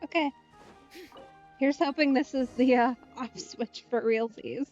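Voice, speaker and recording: A woman's voice: sits high, measured pace, a little mellow, lo-fi sound, soft background music. A woman giving casual, wry commentary while playing a video game, musing aloud to herself. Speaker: let's play streamer.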